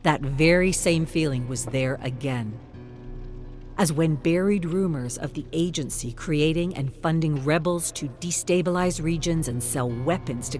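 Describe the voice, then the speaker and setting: A mid-pitched narrator delivers a scripted thriller story. The delivery is measured and suspenseful, in a dramatic audiobook style. Music plays softly behind the voice.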